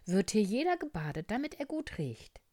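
Lady doing a cheery brisk storybook nurse, instructive, pitch up and bouncing. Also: big pitch swings, dark tone, no echo, clean studio sound. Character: brisk female hospital nurse, orderly and cheerful. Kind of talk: children's storybook reading.

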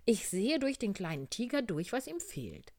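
A woman voicing a brisk, self-assured, formal male frog doctor with emphatic, clipped pronouncements in a children's storybook. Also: medium pitch, very animated, a little mellow, no echo, studio quality, voice pushed up. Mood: reassuring.

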